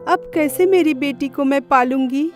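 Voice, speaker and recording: A woman's voice: high voice, moderate pace, pitched above the performer's own voice, lightly acted. A woman voicing a worried, caring widowed village mother in a moral-story cartoon. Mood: worried, despairing. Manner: plaintive, caring. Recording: music behind the voice.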